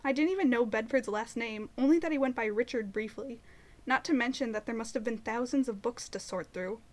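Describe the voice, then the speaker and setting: A woman playing an earnest, curious, determined young woman in an audio drama, narrating and talking in a chatty, expressive way. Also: on the high side, a little fast, lightly acted. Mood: wry, curious.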